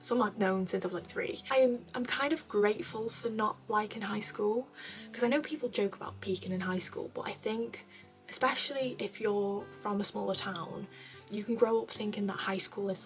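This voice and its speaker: A young woman from North Wales answering viewer questions in a casual chat, rambling a little with fillers and soft laughs. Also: medium pitch, rough recording. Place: bedroom at her parents' home.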